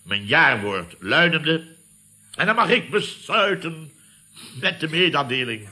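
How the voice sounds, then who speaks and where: Radio comedy role: pompous, nostalgic building-firm boss, very bright forceful voice, grand orator's delivery. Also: male voice, a little high, big pitch swings, slow pace, full character voice. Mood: pompous, ceremonial. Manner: pompous, orating, emphatic. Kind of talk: Dutch radio comedy serial acting.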